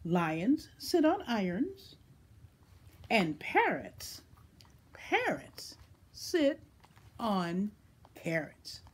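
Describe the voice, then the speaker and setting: Woman acting out a bossy, slow-talking storybook cat. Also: mid-range voice, wildly swooping pitch, slight background noise, exaggerated voice. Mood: insistent, explaining. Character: bossy, insistent know-it-all storybook cat.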